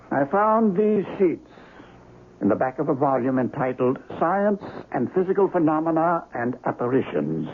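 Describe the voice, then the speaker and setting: Learned ghost investigator, formal n theatrical, some gravel in the voice, muffled radio drama sound. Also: male voice, mid-range voice. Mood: grave, measured. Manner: precise, formal, deliberate, theatrical. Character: grave, learned male occult investigator, doctor of the supernatural. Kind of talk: radio mystery drama acting. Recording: noisy dull radio broadcast recording.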